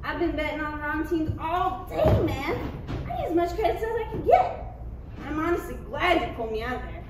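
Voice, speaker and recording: A noisy, distant room recording carries a woman acting out a drunk man from a parody script. The voice is very high and swings around as it protests in indignant, rambling slang. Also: sing-song, a little fast, echoey room. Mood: rambling, relieved. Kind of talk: comedy script table read.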